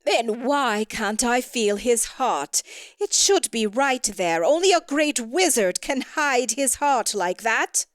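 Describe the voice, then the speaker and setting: A woman's voice: on the high side, animated, takes it slowly, no room sound, studio quality, pitched above the performer's own voice. A woman voicing an imperious, cold snow queen in a storybook tale, haughty and commanding. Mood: indignant. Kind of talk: storybook character voice in audio theatre.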